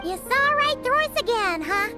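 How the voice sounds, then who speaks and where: A woman's voice: extremely high pitch, lively intonation, moderate pace. A woman voicing a tiny, chirpy, childlike fairy companion in a video game. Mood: admiring. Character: tiny, squeaky, excitable female fairy-like companion. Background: loud music.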